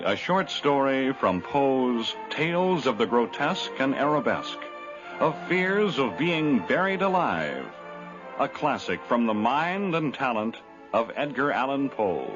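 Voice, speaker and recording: A man in the role of 1950s radio announcer delivers a script slowly and dramatically. His pitch swings widely, and music plays clearly behind the dull old recording. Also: lively intonation.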